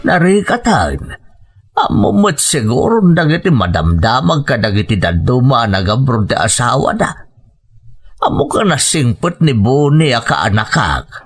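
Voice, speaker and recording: A man playing a meddling, suspicious uncle with drawn-out interjections in an audio drama. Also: medium pitch, sing-song, husky, soft background music, full character voice. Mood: insinuating, warning. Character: meddling, suspicious uncle, a male older relative. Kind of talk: Ilocano audio drama acting.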